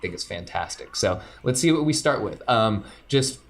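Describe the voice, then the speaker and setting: A man's voice: on the low side, expressive, moderate pace. A man narrating a tabletop role-playing game as game master, loose and joking, describing scenes with plenty of fillers.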